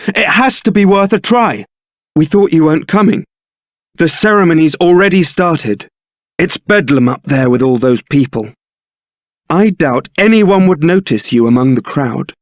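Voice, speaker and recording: A man's voice: on the high side, dry recording, lightly acted. A man playing a suave, sardonic young templar knight and roguish ladies' man in a fantasy video game, with a dry, theatrical delivery. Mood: urgent, earnest.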